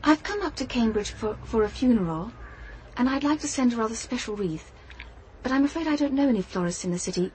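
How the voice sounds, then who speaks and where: An actress playing an earnest, observant young detective, in radio drama dialogue and first-person narration. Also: female voice, on the high side, lively intonation, brisk, darkish tone, slight background noise, close to an everyday voice, low-quality recording. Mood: polite. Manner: earnest, thoughtful, literate narration.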